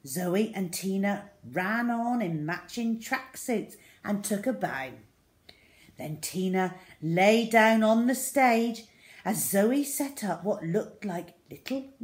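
A woman's voice: mid-range voice, animated, slow pace, darkish tone. A middle-aged woman reading a children's story aloud expressively. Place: small room indoors at home.

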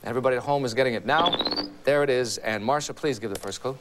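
A middle-aged man hosting a game show in a TV studio, chatty and quick with banter. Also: mid-range voice. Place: 1980s TV studio with live audience. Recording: noisy old TV broadcast, studio audience.

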